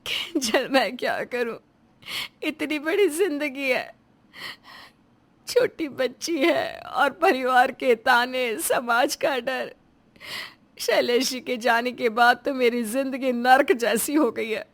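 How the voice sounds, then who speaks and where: A woman's voice: fairly high pitch, measured pace, a bit bright. A woman voicing a meek, despairing young widow in a melodramatic audio story, speaking in a plaintive, tearful manner.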